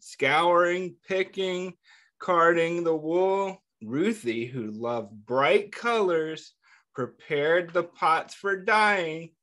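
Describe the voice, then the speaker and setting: A man reading a picture book aloud to young children, pausing often to ask them questions and praise their answers, and calling himself by name in the third person. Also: fairly high pitch. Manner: gentle, patient, asks questions and pauses for answers. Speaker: children's story reader.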